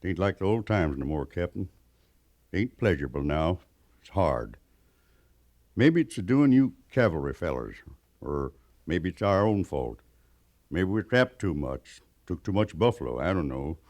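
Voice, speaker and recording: Low, folksy old-west mountain man. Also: very animated, normal speed, pauses often, darkish tone, dry recording. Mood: weary, rueful. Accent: American. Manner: folksy frontier drawl, rustic grammar. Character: weathered mountain man scout, plainspoken, living among the Arapaho. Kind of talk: 1950s western radio drama acting.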